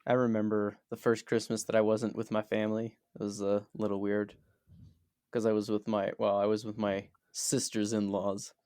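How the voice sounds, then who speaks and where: A man's voice: lowish voice, little pitch movement, normal speed, darkish tone, no echo, clean studio sound. A man co-hosting a podcast in casual chat, playful and rambling, often restarting his sentences. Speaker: podcast co-host.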